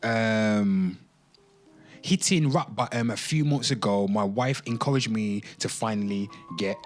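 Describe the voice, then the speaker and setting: A man's voice: lowish voice, faint music behind. A man hosting a commentary show, mixing dramatic reading with informal, sweary ranting, drawing words out theatrically and addressing listeners directly. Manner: theatrical, drawn-out words and exclamations. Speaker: host of a pop culture commentary show.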